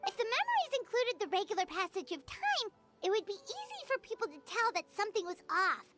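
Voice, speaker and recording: A woman voicing a small, childlike, excitable fairy companion in a video game, eagerly piecing things together. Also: very high-pitched, brisk, over loud music, full character voice. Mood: excited deduction.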